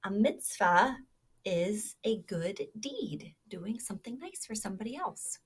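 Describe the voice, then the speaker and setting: A woman's voice: medium pitch, lively intonation, unhurried, slightly rough. A woman reading a children's story aloud expressively, stopping to prompt her young listeners with questions. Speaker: storybook reader.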